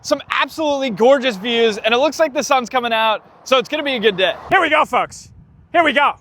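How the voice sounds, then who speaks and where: A man's voice: very high voice, expressive, fairly quick, bright, forceful tone, slight background noise. A man vlogging at a car week, enthusiastic and exclaiming over the cars he spots. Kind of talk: excited car-spotting vlog.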